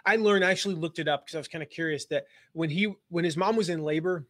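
Guy rambling thru a live lesson, kinda high voice, jokes and um's everywhere, dry close mic. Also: quick pace, a bit bright, no room sound. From Southern United States. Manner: rambling, jokey, many fillers and restarts. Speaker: online business coach. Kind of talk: casual live-stream lesson.

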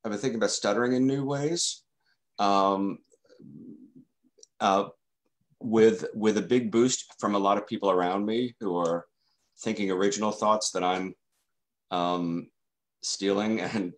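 An older man who stutters, repeating sounds and leaning on fillers as he works through his thoughts. He speaks slowly in a low voice, as a guest on a video call from home. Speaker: guest, journalist. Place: home room.

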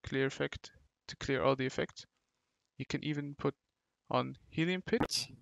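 A man narrating a step-by-step software tutorial in short, halting instructional phrases into a close mic. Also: medium pitch, creaky, no room sound. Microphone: close mic, screen-recording voice-over.

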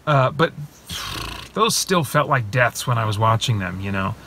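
A man's voice: mid-range voice, moderate pace, a little hiss or hum. A man in his thirties giving a casual, rambling film review from inside a parked car, recorded on a phone held at arm's length. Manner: animated, rambling with many fillers and restarts. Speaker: vlogger reviewing films.

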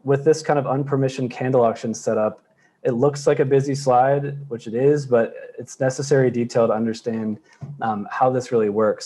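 A man's voice: mid-range voice, dark tone. A man in his thirties explaining conference slides from a room at home over a webcam, speaking in an explanatory way with frequent fillers. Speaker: crypto project presenter. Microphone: webcam on a video call.

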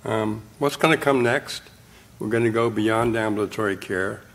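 Gravelly older man giving a keynote. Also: lowish voice, normal speed, a bit bright. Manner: blunt, wry, punchy short phrases. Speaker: keynote speaker. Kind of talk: conference keynote talk. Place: hotel conference room. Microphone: podium mic, filmed from the audience.

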